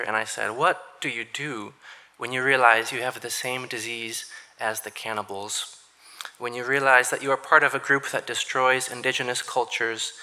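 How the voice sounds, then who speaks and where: A young man from Minnesota giving an earnest graduation speech to his church, with dry understated humor and frequent throat-clearing. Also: mid-range voice, pushed and bright. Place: church hall with audience.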